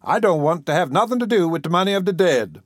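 Man doing an animated, high-voiced stout caretaker woman. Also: normal speed, no room sound, clean studio sound. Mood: devout, firm. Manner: animated Southern folksy dialect.

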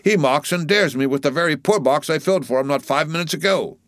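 A man's voice: lowish voice, big pitch swings, unhurried, vocal fry, pushed and bright, no room sound, clean studio sound, full character voice. A man voicing an intense, indignant whaling chief mate in an audiobook, giving declamatory commands to his rowers. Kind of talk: audiobook storybook character voice.

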